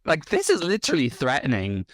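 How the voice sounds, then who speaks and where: A man's voice: on the high side, huge pitch swings, fairly quick, a bit bright, no room sound. A man with an Irish accent, hosting a reaction video with blunt, sarcastic commentary. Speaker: host.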